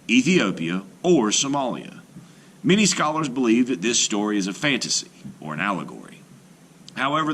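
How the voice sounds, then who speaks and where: A man reading scripted narration like a teacher, measured and explanatory, for young listeners. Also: on the low side, fairly bright tone, slight background noise. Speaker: storyteller and teacher. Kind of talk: scripted read-aloud narration.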